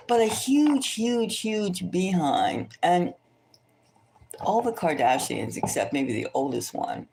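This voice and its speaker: Lady doing a chatty tarot reading, lowish voice that swoops around a lot, gossipy, rambles and repeats herself. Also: big pitch swings, slow, vocal fry, mellow, a little hiss or hum. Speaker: tarot reader hosting a celebrity psychic reading. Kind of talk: casual chatty tarot reading, thinking aloud.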